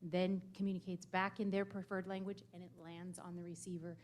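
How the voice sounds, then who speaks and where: A woman's voice: lowish voice, level tone, brisk, a little mellow. A woman giving an upbeat staff report at a school board meeting, with fillers and a light laugh now and then. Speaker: district staff presenter.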